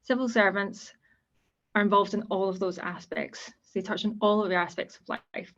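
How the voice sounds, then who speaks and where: A woman with a Scottish accent giving an online careers presentation as a guest speaker, explaining in a steady, informal way. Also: medium pitch, normal speed. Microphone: video call. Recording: dull lo-fi call audio.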